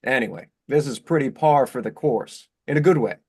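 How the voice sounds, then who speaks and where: An actor playing a blunt, well-meaning young husband in a virtual staged reading of a play. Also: male voice, medium pitch, lively intonation, fairly quick, darkish tone, breathy edge. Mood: blunt, upbeat.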